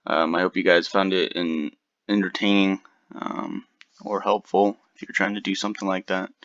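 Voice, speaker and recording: A man hosting a casual software tutorial, chatting informally to his viewers. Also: deep voice, flat delivery, moderate pace. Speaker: tutorial video host. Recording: slightly dull, lo-fi home recording.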